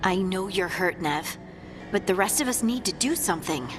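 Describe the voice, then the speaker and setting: A woman's voice: medium pitch, animated, normal speed, close to an everyday voice, low-quality recording. A woman playing an earnest, insistent scout in a fantasy video game party. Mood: urgent. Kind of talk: video game cutscene acting. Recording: loud music behind the voice.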